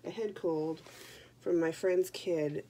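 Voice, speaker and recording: A woman's voice: on the low side, steady tone, slow pace, mellow, a little hiss or hum. A young woman chatting casually to camera about her knitting, stuffy from a head cold, with joking asides. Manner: chatty, self-deprecating, jokey asides. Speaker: knitting podcast host.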